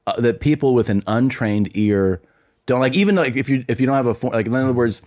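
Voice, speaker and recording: A man's voice: mid-range voice, fast, a little mellow, no echo. Male podcast host, casual and rambling, dry close sound. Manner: rambling, self-interrupting, profane. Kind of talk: casual chat and ad reads.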